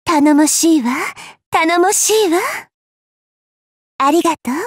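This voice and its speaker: A woman's voice: high-pitched, slow pace, no room sound, clean studio sound. An actress playing a dainty, girlish goddess in an anime game, elegant, coy and teasing, with polite ladylike phrasing. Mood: playful, coy. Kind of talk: anime mobile game battle voice lines. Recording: game audio with music behind.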